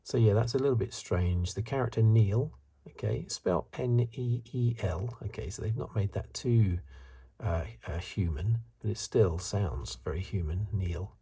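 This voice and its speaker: A man giving soft-spoken, partly whispered ASMR review commentary in a breathy, hesitant, musing way. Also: on the low side, moderate pace, few pauses. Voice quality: breathy, hushed. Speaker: host of a film review video.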